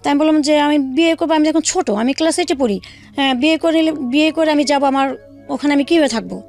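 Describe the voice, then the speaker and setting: A woman with a Bangladeshi accent telling her own life story in an interview, haltingly, with pauses and repeated phrases. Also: sits high. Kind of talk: personal storytelling in a radio interview. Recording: faint music bed under the voice. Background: soft background music.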